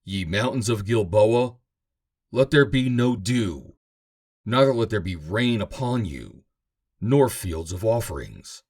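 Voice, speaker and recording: A man's voice: fairly low pitch, lively intonation, slow, a little mellow. A man giving a solemn, theatrical, emphatic reading of scripture as a narrator. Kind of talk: dramatic scripted reading of King James Bible.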